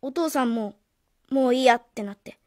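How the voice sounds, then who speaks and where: Troubled, high-voiced schoolboy played by a woman. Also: mellow, no echo, studio quality, natural voice. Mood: sad, confessing. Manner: halting, in short phrases. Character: troubled, hesitant schoolboy protective of his father. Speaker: actor in a radio drama. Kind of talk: Japanese radio drama acting. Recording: faint music behind the voice.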